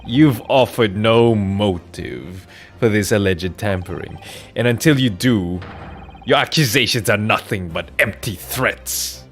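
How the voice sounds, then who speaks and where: A man playing a formal, accusing lawyer in a courtroom video game, with deliberate, declamatory delivery. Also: on the low side, faint music behind. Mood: challenging.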